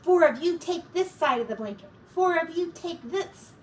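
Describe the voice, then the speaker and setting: A woman's voice: very high-pitched, animated, normal speed, roomy sound, recorded from a distance, pitched above the performer's own voice. A woman voicing a brave, bossy young piglet urgently giving orders in a children's storybook. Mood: commanding. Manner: urgent, commanding, hissed and shouted orders.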